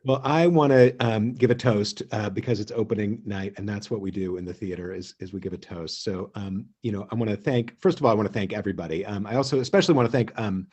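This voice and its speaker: A man hosting an online theatre gathering, speaking informally and haltingly, pausing often as he thinks aloud. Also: fairly low pitch, darkish tone, husky. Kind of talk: informal speech and toast. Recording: dull, lo-fi video call audio.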